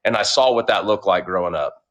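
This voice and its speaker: A man from Oklahoma with a slight Oklahoma drawl, telling his life story as a guest in a casual interview, relaxed and full of fillers. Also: on the low side, brisk, lo-fi sound. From Oklahoma, USA. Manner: relaxed, drawls some words, frequent fillers. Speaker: podcast guest, entrepreneur.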